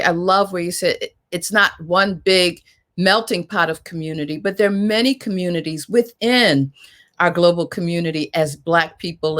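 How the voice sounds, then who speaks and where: A woman moderating a panel discussion, reflecting in a measured, articulate way. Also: on the low side, a bit bright.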